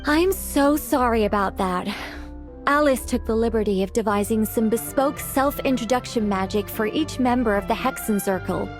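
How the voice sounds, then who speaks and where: A woman's voice: fairly high pitch, moderate pace, music in the background, natural voice. A woman voicing a polite, formal angel in a video game, earnest and courteous. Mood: apologetic. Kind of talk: video game character acting.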